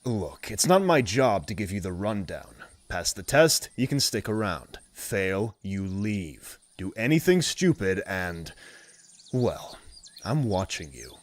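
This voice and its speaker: Man acting a gruff low-voiced security guard. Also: very animated, takes it slowly. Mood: stern, suspicious. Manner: curt, commanding, stern. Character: gruff, suspicious adult male wasteland security guard. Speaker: voice actor. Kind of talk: audio drama acting.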